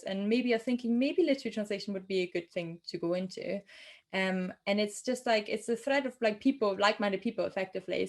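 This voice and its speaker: Chatty German-accented woman translator. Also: medium pitch. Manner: chatty, many ums and restarts. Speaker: translator guest. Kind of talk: casual interview answers.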